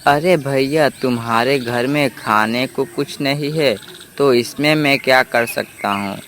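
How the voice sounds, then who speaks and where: A man's voice: medium pitch, measured pace, fairly bright tone, a little hiss or hum, lightly acted. A man voicing a rich, selfish younger crow brother with a dismissive manner in a children's cartoon. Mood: dismissive, uncaring. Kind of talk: Hindi children's cartoon story dialogue.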